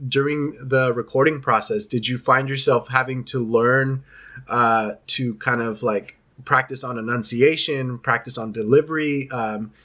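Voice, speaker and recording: A man's voice: mid-range voice, fairly even intonation, measured pace, sharp tone. Enthusiastic male interviewer on a call. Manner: enthusiastic, long rambling questions. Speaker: interviewer host. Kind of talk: casual interview over video call. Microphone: video call.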